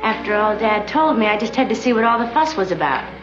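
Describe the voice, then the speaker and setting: An actress playing a proud, skeptical young American frontier woman in a TV western, crisp and formal. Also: medium pitch, animated, fast, close to an everyday voice. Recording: dull old soundtrack with music.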